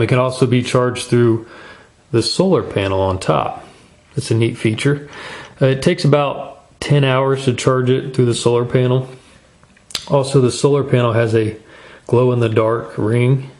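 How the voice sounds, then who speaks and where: A man's voice: mid-range voice, steady tone, moderate pace, darkish tone. A man hosting a casual product review in an informal, folksy, plain-spoken way. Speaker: gear review channel host.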